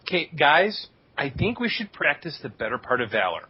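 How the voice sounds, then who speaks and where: A man playing a pedantic, cautious professor in a parody radio drama, husky and halting. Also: fairly high pitch, big pitch swings, no echo, lo-fi sound, full character voice. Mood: hesitant, fussy.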